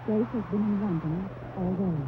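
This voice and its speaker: A woman's voice: medium pitch, normal speed, lightly acted. An actress playing an anxious woman in an old-time radio drama, speaking in a theatrical, exclamatory way. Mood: intent, puzzled. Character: anxious woman recounting a ghostly rescue. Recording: muffled tinny old radio recording, faint noise.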